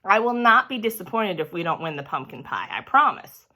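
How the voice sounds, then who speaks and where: Woman playing a weary, patient male schoolteacher, animated delivery. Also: mid-range voice, fairly quick, gravelly, exaggerated voice. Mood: reassuring.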